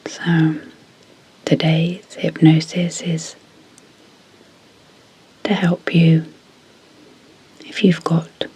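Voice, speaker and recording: Soft whispery asmr lady doing sleep hypnosis, lowish voice, really slow w pauses. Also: completely flat delivery, low-quality recording. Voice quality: breathy, whispery, hushed. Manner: slow, deliberate, pausing between short phrases. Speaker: ASMR hypnosis guide and channel host.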